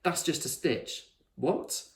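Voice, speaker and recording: A man's voice: takes it slowly, natural voice. A man voicing a cheeky, scornful little boy in a storybook, blunt and exclamatory. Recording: echoey room.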